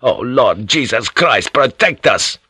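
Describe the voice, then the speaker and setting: A man's voice: medium pitch, fairly even intonation, a little fast, fairly bright tone, dry recording, studio quality, voice pushed up, close to an everyday voice. A man voicing a cocky, hot-blooded ship's pilot, vehement and blustering, in a seafaring audiobook story. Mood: enraged.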